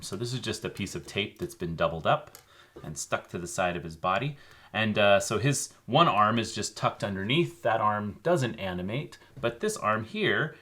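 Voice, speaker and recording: A man's voice: on the low side, bright, forceful tone. A man co-hosting a children's show, casually explaining how things are made, with many fillers. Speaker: co-host.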